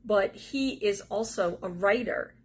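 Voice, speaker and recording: A female host introduces and questions a guest in an interview. Her voice is of medium pitch with a marked husky, breathy edge. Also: measured pace, darkish tone. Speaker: interviewer and magazine editor hosting.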